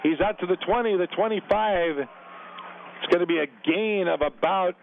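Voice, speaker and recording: Play-by-play man, gravelly, slightly high voice, brisk calls, narrow radio sound. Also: slight background noise. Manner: brisk play calls mixed with relaxed booth chat. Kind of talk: college football radio commentary. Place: stadium press box. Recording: narrow phone-like radio broadcast.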